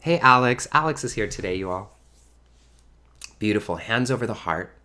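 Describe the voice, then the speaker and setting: A man's voice: on the low side, lively intonation, moderate pace, pushed and bright. A man in his early thirties hosting an informal online spiritual community gathering, talking effusively and repeating words for emphasis. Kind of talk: informal livestream talk to a community.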